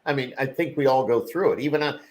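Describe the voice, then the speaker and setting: A man hosting a podcast interview, speaking slowly and rambling, with lots of small encouraging replies. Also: medium pitch. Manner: slow, rambling, with many backchannels. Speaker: podcast host. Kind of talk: casual interview conversation.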